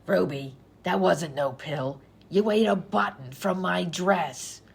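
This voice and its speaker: A woman as a gravelly, grumbling, exasperated patient. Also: fairly low pitch, slow, exaggerated voice. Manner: curt, exasperated. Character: exasperated, grumbling female patient, long-suffering companion. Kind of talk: radio comedy acting.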